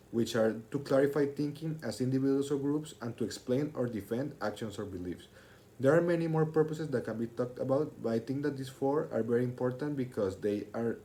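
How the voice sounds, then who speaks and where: Mellow-voiced young man giving a class speech. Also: medium pitch. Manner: formal, expository, reading from prepared text. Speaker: student speaker.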